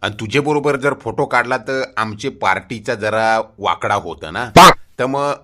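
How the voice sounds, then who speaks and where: A pompous, easily irritated village chief from a comedy cartoon , acted by a man. He blusters and talks down in a fairly low pitch. Music runs clearly behind the dialogue. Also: moderate pace, lightly acted. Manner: blustering, condescending. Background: loud background music.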